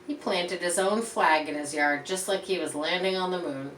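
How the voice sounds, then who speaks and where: A woman reading a picture book aloud to children in an expressive, teacherly way, pausing to explain and point things out. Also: on the low side. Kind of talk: read-aloud storytelling with explanatory asides. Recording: roomy echo, distant mic, faint background noise.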